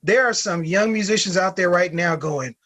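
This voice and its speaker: A man joining an interview, talking in an animated way and often tagging his points with a question. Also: high-pitched, moderate pace. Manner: animated, keeps asking "right?". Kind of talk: casual interview chat.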